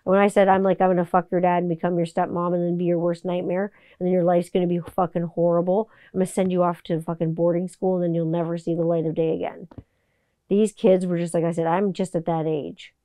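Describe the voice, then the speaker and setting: A woman in her forties hosting a podcast, talking in a blunt, profane, animated monologue. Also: lowish voice, fast, smooth, dry recording, poor audio. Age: 40s. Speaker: podcast host. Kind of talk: casual monologue.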